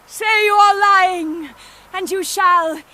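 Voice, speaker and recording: A tyrannical witch queen with a British accent in a radio play. Her voice is very high and sharp, and she draws out her haughty commands with great deliberation. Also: steady tone, takes it slowly, full character voice. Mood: enraged. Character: cruel imperious witch queen, tyrannical and furious. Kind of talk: dramatic radio play acting.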